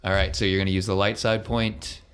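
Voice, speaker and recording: A man's voice: low-pitched, level tone, fairly quick, a bit bright, clean tone. A man acting as game master, improvising narration and talking through rules at a tabletop game.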